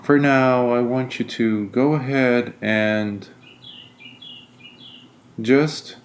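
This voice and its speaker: Very slow-paced man guiding a meditation. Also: mid-range voice, a little hiss or hum. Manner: deliberate, soothing, frequent pauses between phrases. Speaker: meditation guide, Buddhist monk. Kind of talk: guided meditation.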